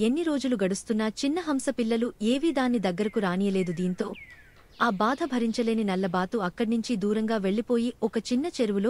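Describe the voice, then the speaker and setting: A woman's voice: a little high, darkish tone. A woman narrating a scripted children's fable as a storyteller.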